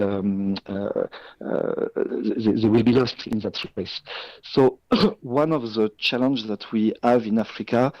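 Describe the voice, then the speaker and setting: A man from France with a French accent, answering a radio business interview in measured English with frequent hesitations and restarts. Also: lowish voice, darkish tone, no echo, highs cut off. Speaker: business guest.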